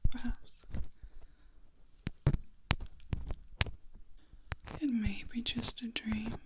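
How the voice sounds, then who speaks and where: A woman voicing a gentle, protective, ageless vampire in a breathy whispered ASMR roleplay, confiding slowly and tenderly close to the microphone. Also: on the high side, fairly even intonation, natural voice. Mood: hushed, wistful. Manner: slow, hushed, tenderly confiding. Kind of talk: soft-spoken ASMR roleplay. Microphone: close ear-to-ear ASMR microphone. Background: noise, clicking and picking sounds.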